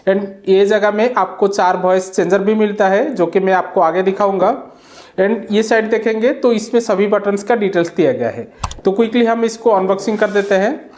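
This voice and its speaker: An Indian-accented woman hosts a casual unboxing review. Her voice sits at an ordinary middle pitch for a woman. She explains the product like a tech channel presenter talking to viewers. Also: moderate pace, a little mellow.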